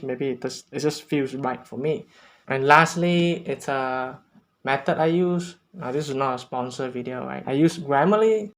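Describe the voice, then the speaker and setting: Earnest man sharing tips. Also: mid-range voice. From Malaysia. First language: Cantonese. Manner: earnest, unpolished, with non-native phrasing and small restarts. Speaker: language-learning vlogger. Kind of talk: casual tips talk to camera.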